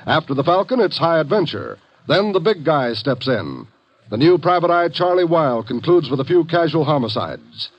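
Dry, slightly high 1950s male radio announcer. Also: very animated, normal speed, no echo. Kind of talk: 1950s scripted radio promo. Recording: dull, dry vintage 1950 radio recording.

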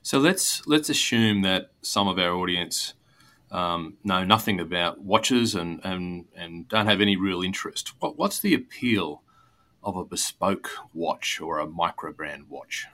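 Deep, bright-voiced male interviewer. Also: expressive, measured pace. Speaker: interviewer, host. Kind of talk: conversational interview questions.

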